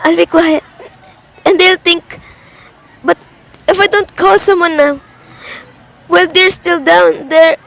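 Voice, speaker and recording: A young woman playing a frightened, demanding invalid alone in her house, melodramatic and halting, in a suspense radio drama. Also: extremely high pitch, steady tone, vocal fry, heavily acted. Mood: alarmed. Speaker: student performer in a class radio drama.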